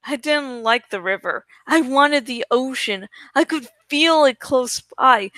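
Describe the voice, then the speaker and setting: A woman's voice: high voice, fairly bright tone, no echo. A woman reading a first-person story aloud expressively, drawing out words for dramatic emphasis. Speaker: amateur audiobook reader.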